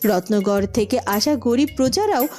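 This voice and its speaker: High-pitched woman narrating a cartoon tale. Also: animated, normal speed, darkish tone, soft background music. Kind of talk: scripted storybook narration for a cartoon.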